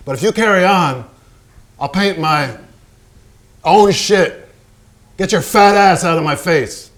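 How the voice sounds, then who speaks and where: A man's voice: high voice, slow, a bit bright. A volatile, brooding male painter. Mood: furious, taunting. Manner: blunt, profane, brooding, given to repetition. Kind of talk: theatrical staged reading.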